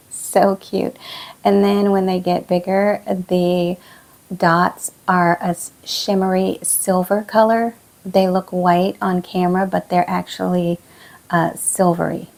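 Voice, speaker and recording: A woman with a hoarse, fading voice, chatting casually and softly as a plant channel host while she repots. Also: fairly low pitch. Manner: relaxed, soft-spoken, many fillers. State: hoarse, losing her voice. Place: indoor plant room.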